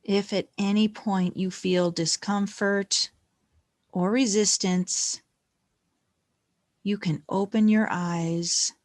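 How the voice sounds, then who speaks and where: A woman leading a guided meditation in a gentle, calm, unhurried manner with long pauses between phrases. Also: medium pitch, no echo, clean studio sound.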